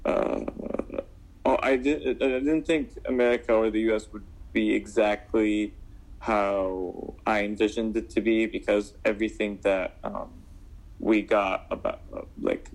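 Young man from Syria, very low voice, flat gravelly tone, halting with fillers. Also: low-quality recording. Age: 20s. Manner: hesitant, many fillers and restarts. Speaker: guest. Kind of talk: casual interview answers.

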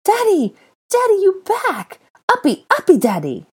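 A woman voicing an excitable, affectionate small boy who talks in childish baby talk in an audiobook story. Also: mid-range voice, fast, husky, voice pushed up, heavily acted. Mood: delighted.

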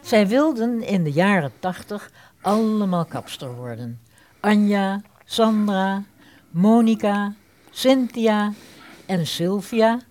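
A woman hosting a radio play, reading a scripted introduction in a measured storytelling manner. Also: medium pitch, a little mellow. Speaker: host, narrator.

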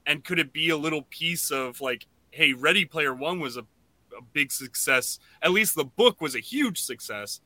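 A man hosting a casual podcast discussion, talking in a halting way and often restarting his phrases. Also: a little high, animated, bright, forceful tone, no room sound. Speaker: podcast host.

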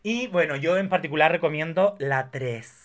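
A man chatting casually about films, telling things in an animated way with emphatic asides. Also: fairly high pitch, normal speed. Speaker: guest film enthusiast. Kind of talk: casual film chat.

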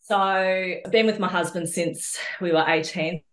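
Low-pitched middle-aged Australian woman, roomy sound. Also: fairly even intonation, measured pace, a bit bright. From Australia, New South Wales. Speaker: podcast guest, social worker. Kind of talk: casual conversational interview.

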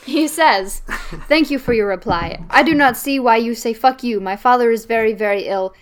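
A woman's voice: on the high side, normal speed. A woman co-hosting a podcast, reading a joke email aloud and giggling through it. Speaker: podcast co-host.

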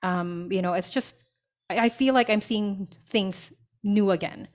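A woman's voice: medium pitch, a little slow, a little mellow. A young adult woman from the Philippines, a business coach talking as a podcast guest, telling her story in a reflective way with many fillers and restarts. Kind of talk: casual interview storytelling.

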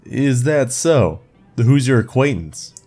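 An actor playing a suspicious, territorial guard in a sci-fi audio drama, stern and challenging. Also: male voice, mid-range voice, expressive, slow, darkish tone, husky, faint music behind, heavily acted.

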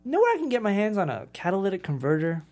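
A woman's voice: mid-range voice, sing-song, fast, darkish tone, natural voice. A woman playing an exasperated, sarcastic, down-on-his-luck man in a blunt manner at a comedy table read. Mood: wry.

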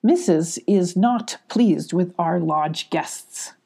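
A woman's voice: on the low side, slow, dark tone. A woman voicing a polite, eager, plodding male beaver in a children's storybook. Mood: helpful.

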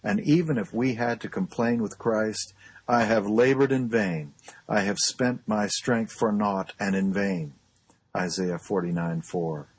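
A man reading a formal sermon aloud in a solemn, measured and earnest oratorical manner. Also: lowish voice, breathy edge, no room sound. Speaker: pastor reading a historic sermon.

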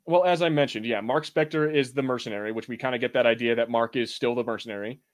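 A man with a medium-pitched voice co-hosts a casual pop culture podcast. He talks in a loose, rambling way, circling back over his points and swearing freely. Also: quick pace. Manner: rambling, profane. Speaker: podcast co-host. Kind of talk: casual podcast banter about film and TV.

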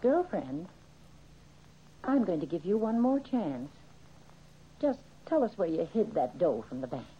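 A woman's voice: on the low side, sing-song, unhurried, long pauses, a little hiss or hum. An actress playing a ruthless, sneering female gang boss with tough, slangy American talk, in old-time radio crime drama style. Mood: menacing. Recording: muffled old radio recording.

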